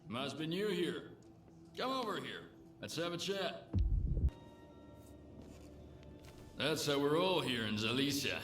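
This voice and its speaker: A man playing a world-weary, pragmatic bartender in a lawless zone, with gruff, slangy video game dialogue. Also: a little high, big pitch swings, normal speed, music in the background. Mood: wry. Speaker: bartender character. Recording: roomy echo with noisy game ambience.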